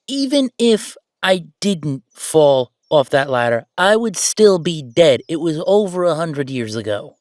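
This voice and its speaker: A man playing a bossy, playful yet sentimental ghost roommate in a conversational roleplay audio drama, with animated, teasing banter. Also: a little high, a little slow, few pauses, no echo, studio quality. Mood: mock offended, bossy.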